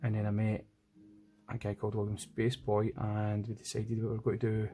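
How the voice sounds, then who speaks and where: A man's voice: on the low side, monotone, a little fast, dark tone. A man with a Scottish accent giving casual commentary as he draws, thinking aloud in an informal way. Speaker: illustrator narrating own drawing process. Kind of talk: casual art vlog commentary. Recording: music playing in background. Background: background music.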